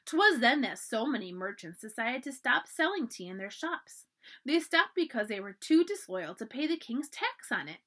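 A woman's voice: on the high side, big pitch swings, moderate pace, bright, forceful tone, exaggerated voice. A woman voicing a pompous, gossiping older lady who is easily scandalized, speaking shrilly and dramatically. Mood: indignant.

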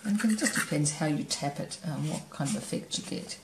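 Low, gravelly woman teaching painting, relaxed, thinking aloud, echoey studio room. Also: a little fast, noisy background. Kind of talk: painting demonstration narration. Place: studio table, camera overhead.

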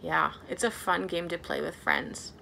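A woman in her thirties leading a storytime for young children as a librarian, talking in an animated way with simple, exaggerated phrasing. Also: lowish voice, unhurried, vocal fry, a little hiss or hum, poor audio. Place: small plain indoor room.

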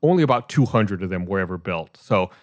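A man's voice: low voice, animated, a little fast, dry recording, studio quality. An American man hosting a podcast, reading out and explaining a report in a matter-of-fact way. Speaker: podcast host.

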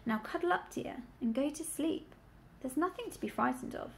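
A woman's voice: very high voice, animated, fairly quick, a little mellow, a little hiss or hum, voice pushed up. A woman voicing a motherly female sheepdog in a storybook, firm and soothing in manner.

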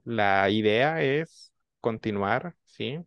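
A man in his thirties, a student in an online language class, speaking slowly and reflectively into a desk microphone in a small room. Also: fairly low pitch, animated, clean tone, dry recording, lo-fi sound. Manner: slow, reflective, measured. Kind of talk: online language lesson chat. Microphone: desk mic on boom arm.

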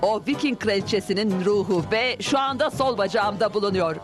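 A woman's voice: mid-range voice, fairly quick, natural voice. An actress playing an eccentric, bossy spiritualist ghost hunter in a cartoon dub, earnest and theatrical as she lectures. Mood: earnest, insistent. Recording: music behind the voice.

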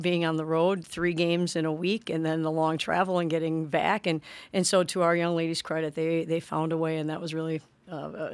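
A woman's voice: low-pitched, no echo. American woman coach at a press mic. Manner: measured, frequent restarts and "you know". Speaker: head basketball coach. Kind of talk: postgame press conference answers. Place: press room in front of a sponsor backdrop. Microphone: desk microphone on a stand.

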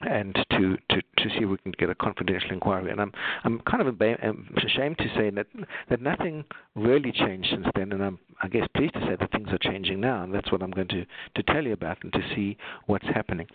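A middle-aged man with a South African accent presents to a remote audience and talks slightly low. He hesitates often, restarting phrases and filling gaps with ums. The audio is dry and narrow, like a phone line. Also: breathy edge, no echo. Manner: halting, many fillers and restarts. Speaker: obstetrician presenting on a webinar. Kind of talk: unscripted webinar presentation.

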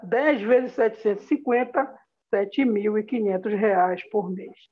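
A woman's voice: medium pitch, a little slow, husky. A woman with a Brazilian accent gives an upbeat, motivational business presentation over a video call, talking straight to her listeners. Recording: dull lo-fi video call audio.

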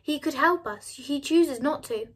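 A woman's voice: high-pitched, unhurried, pitched above the performer's own voice. A woman voicing an earnest, passionate and stubborn teenage girl in a story reading. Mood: bitter.

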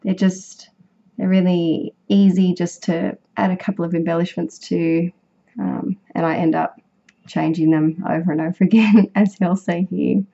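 A young woman with a croaky, sick voice, chatting casually as she narrates her craft project, rambling with fillers and self-corrections. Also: on the low side, fairly even intonation, normal speed, warm tone. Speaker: scrapbooking vlogger. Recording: dull lo-fi home recording.

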